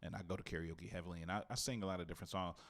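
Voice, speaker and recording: A man hosting a podcast in quick, laughing banter, voice jumping up high at times. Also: steady tone, fairly bright tone. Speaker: podcast host. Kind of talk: casual podcast chat.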